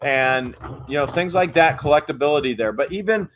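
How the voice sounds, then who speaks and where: A man hosting a live online auction stream, calling out bids excitedly and chatting with viewers. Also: on the high side, background noise. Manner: excited, rapid bid calling. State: often loses voice after streams. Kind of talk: fast auctioneer-style live stream chat.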